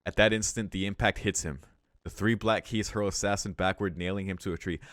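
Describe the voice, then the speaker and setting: A man reading a visual novel's story aloud for a let's play, breaking into casual, excitable reactions with some swearing. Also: sits low, brisk, no room sound, clean studio sound. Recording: music behind the voice.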